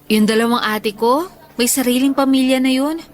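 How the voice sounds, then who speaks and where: Woman acting as tender, high-voiced young schoolteacher. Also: steady tone, moderate pace, natural voice. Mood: weary, confiding. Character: tired, affectionate young woman schoolteacher caring for parents. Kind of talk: Filipino radio love drama dialogue.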